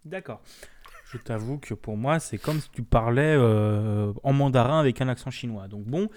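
A man's voice: medium pitch, normal speed, a little mellow. A man co-hosting a casual video game podcast, joking along in crude slang. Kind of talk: casual gaming chat.

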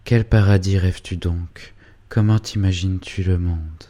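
A man's voice: sits low, fairly even intonation, a little slow, dark tone. A man reading a storybook voicing a severe, anxious middle-aged mother who scolds her daughter. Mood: reproachful.

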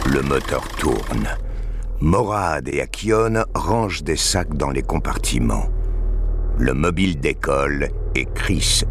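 A very deep male voice, over faint rumbling ambience, narrates adventure fiction. The actor speaks slowly and solemnly, building suspense. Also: fairly bright tone, a little hiss or hum, heavily acted. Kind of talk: scripted dramatic narration.

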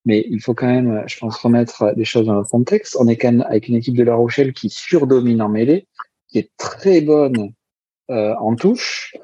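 A man on a rugby talk show, giving a measured, analytical breakdown of a match. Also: medium pitch, dark tone, husky, no room sound. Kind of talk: casual sports analysis. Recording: dry, dull lo-fi sound.

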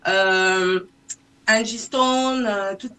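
A woman's voice: mid-range voice, very slow, fairly bright tone. A woman from Saint-Martin in the Caribbean, a native English speaker, talking casually as a singer being interviewed and feeling her way through broken phrases. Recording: noisy recording with clicks.

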